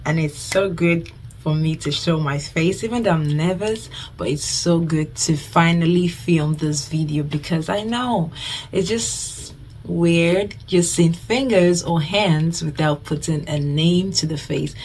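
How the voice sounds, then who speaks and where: Nigerian woman, 20s, low voice, easygoing chatty vlogger who repeats herself, talking to camera. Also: steady tone, a little slow, darkish tone, slight background noise. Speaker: budgeting vlogger. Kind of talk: casual talk to camera.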